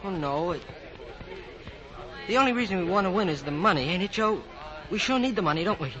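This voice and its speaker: A man's voice: sits high, expressive, brisk, highs cut off, crowd noise. An American male actor playing an earnest, nervous boy jockey, hesitant and naive, in a 1940s radio drama. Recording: noisy old 1940 radio broadcast.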